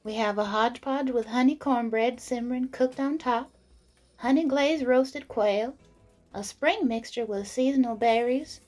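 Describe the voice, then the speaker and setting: A woman's voice: fairly high pitch, a little mellow, natural voice. A woman playing a busy, polite and chatty tavern serving woman in a fantasy audio drama. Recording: background music under the voice.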